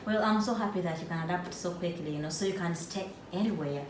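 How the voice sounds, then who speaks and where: A woman's voice: on the low side, quick pace. A woman, interviewing a student in casual conversation with lots of encouraging hums. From Uganda. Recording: echoey room, far from mic, background noise.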